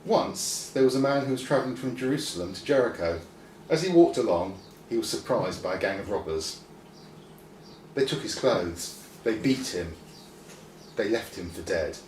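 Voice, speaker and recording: A man reading a scripted children's story expressively, with deliberate pauses. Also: lowish voice, animated, darkish tone. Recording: roomy, distant mic, faint noise.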